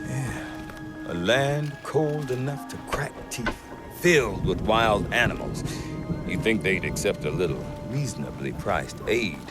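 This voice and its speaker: An actor playing a gruff middle-aged trader who talks with dry humor. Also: male voice, lowish voice, unhurried. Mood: wry. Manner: wry, dry humor, lively delivery. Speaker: merchant trader. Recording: game cutscene with music behind.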